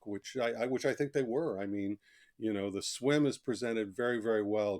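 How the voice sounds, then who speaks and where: A man's voice: mid-range voice, darkish tone. A man speaking as a guest author in a conversational interview, talkative and reflective, explaining things in plain asides.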